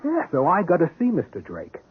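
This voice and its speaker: Wry, husky radio police detective. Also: male voice, a little high, wildly swooping pitch, fairly quick, full character voice. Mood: insistent. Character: wry, stubborn, educated male police detective. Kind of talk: 1970s radio drama acting. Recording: muffled, narrow-band old radio broadcast.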